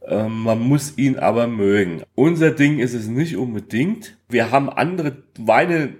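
A man co-hosting a podcast, talking casually and colloquially about food and travel, with halting pauses. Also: medium pitch, expressive. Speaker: podcast co-host.

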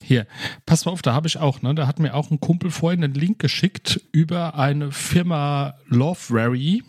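A man's voice: fairly high pitch, moderate pace, darkish tone, breathy edge, no room sound, studio quality. A man talking casually as a podcast co-host, with sarcastic remarks and crude slang. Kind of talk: casual chat, humorous banter.

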